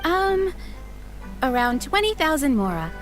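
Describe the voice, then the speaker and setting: Very high-pitched polite waitress. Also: female voice, big pitch swings, a little slow. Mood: courteous. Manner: polite, service-like. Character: polite, brisk young restaurant waitress. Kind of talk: video game voice acting. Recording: game music behind the voice.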